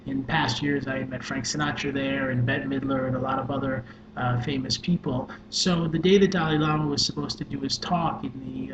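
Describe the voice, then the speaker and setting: A soft-spoken man, a social worker and life coach, earnestly telling a personal story with many fillers. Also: medium pitch. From New York. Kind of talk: personal story told to camera. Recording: echoey room with dull sound and noise.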